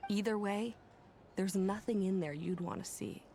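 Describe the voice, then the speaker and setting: A woman's voice: mid-range voice, moderate pace, warm tone, slight background noise, natural voice. An actress playing a flippant, evasive young woman in a video game. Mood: grumbling. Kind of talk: video game character acting.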